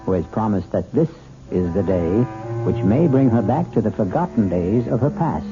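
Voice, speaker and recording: A man with a low voice narrates as host of a 1970s radio drama, measured and ominous. Music plays clearly behind him on a dull old broadcast recording. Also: lively intonation.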